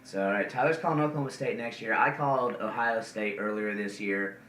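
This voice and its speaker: A man's voice: lowish voice, a little fast, fairly bright tone, recorded from a distance. A man co-hosting a casual wrestling podcast, rambling with lots of ums and uhs. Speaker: podcast co-host. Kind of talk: casual chat about wrestling. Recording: echoey room, faint noise.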